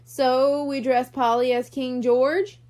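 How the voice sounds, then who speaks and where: A woman's voice: high voice, little pitch movement, slow pace, warm tone. A woman reading a children's storybook, voicing a sensible, eager young boy who explains things assertively. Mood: firm. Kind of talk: children's audiobook character voice.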